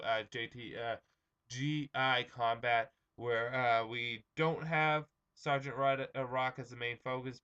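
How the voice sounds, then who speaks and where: A young man hosts his own comic review vlog in a bright, forward voice that has gone slightly hoarse. He chats casually and loosely, filling pauses with ums and uhs. The sound is a little dull, like a lo-fi home recording. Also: medium pitch. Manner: chatty, many ums and uhs, restarts.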